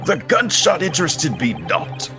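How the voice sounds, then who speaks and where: A man plays a haughty game witness, grandiose and theatrical. His voice is bright and forceful and leaps wildly in pitch as he delivers florid phrases. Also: wildly swooping pitch, unhurried, soft background music, exaggerated voice. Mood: haughty, dramatic. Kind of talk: live comedic fan dub of a video game.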